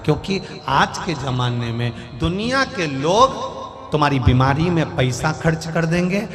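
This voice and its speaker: Indian preacher in a reverberant hall. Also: male voice, on the high side. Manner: rises and falls, urges the crowd to answer back. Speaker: preacher telling a Shiva scripture story. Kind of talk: devotional sermon to a large crowd. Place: large venue with a crowd and speakers. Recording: live sermon in a long reverberant hall with music behind.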